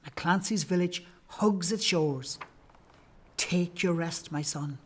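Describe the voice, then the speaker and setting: A man's voice: on the high side, slow pace, a bit bright. A man playing a kindly, solemn Irish priest in an audio play, speaking in archaic, declamatory phrases.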